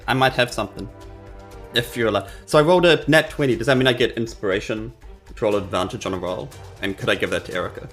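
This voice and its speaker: A man's voice: fairly low pitch, fast, fairly bright tone. A man talking casually as a player in a tabletop role-playing game. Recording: music behind the voice. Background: background music.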